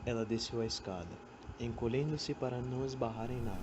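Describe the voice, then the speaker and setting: A woman's voice: extremely low pitch, a little fast. A woman reading scripted dramatic narration as the narrator of a student radio drama. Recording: dull amateur recording with faint noise.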